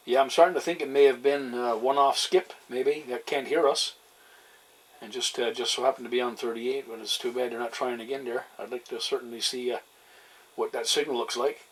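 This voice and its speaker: A man's voice: mid-range voice, steady tone, fairly quick. A man chatting casually as a CB radio operator, with a folksy, hesitant way of talking. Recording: clearly noisy radio static and hiss. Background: radio noise.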